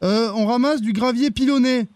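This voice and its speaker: Man playing high-pitched, hesitant charity director. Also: moderate pace, no echo. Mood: insistent. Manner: hesitant, polite, deadpan insistence. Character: earnest, bumbling male charity deputy director. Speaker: prank caller. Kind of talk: prank phone call.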